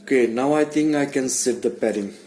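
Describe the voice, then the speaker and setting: A man giving a casual hands-on tutorial, speaking haltingly and muttering to himself as he works indoors. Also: mid-range voice, warm tone. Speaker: DIY vlogger. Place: small indoor room. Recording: background noise, room ambience.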